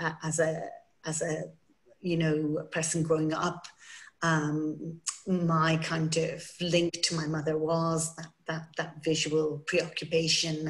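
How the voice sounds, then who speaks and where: A woman in her fifties, speaking thoughtfully and haltingly as a panelist over a video call from home. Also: low-pitched. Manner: thoughtful, halting, restarts words.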